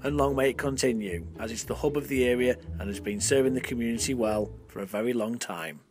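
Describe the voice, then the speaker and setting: A man's voice: medium pitch, quick pace. A man reading scripted narration as the narrator and interviewer of a local documentary. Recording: clear music bed behind. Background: music.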